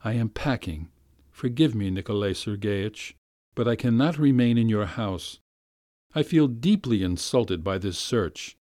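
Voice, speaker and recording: A man's voice: on the low side, animated, a little slow, warm tone, no echo, clean studio sound. A man reading aloud voices an insulted young woman who speaks in a firm, clipped, hurt way while tearful.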